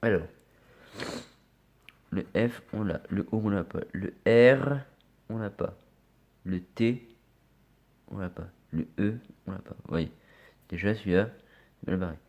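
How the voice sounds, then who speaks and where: A man with a slightly low voice and a head cold talks casually to his viewers while scratching tickets. He often trails off into quiet muttering as he checks letters one by one. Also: unhurried, long pauses. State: has a cold. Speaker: scratch card vlogger.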